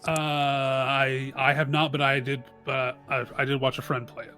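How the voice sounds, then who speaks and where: A man's voice: mid-range voice, flat delivery, normal speed, pushed and bright, smooth, soft background music. A man playing a tabletop roleplaying game, chatting casually and thinking aloud with frequent stumbles.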